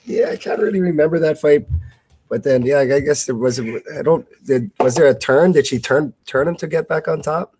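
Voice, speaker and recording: A man's voice: fairly high pitch, normal speed, darkish tone, husky, no echo, low-quality recording. A man co-hosting fight commentary in casual chat, with a tentative, questioning way of talking.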